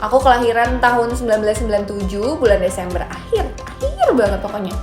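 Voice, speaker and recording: A woman's voice: on the high side, sing-song, fast talker. A young woman in her twenties, a brand founder chatting casually and upbeat as she answers viewer questions. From Indonesia. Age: 20s. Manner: chatty, upbeat, mixes in English phrases. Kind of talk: casual chat. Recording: echoey room with soft music.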